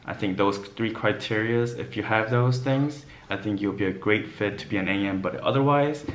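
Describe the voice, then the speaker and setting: Low-voiced man, roomy echo. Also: normal speed, slight background noise. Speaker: vlogger, former Navy aviation mechanic. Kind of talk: casual explainer talk to camera. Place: small indoor room with bare wall. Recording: roomy echo in small bare room.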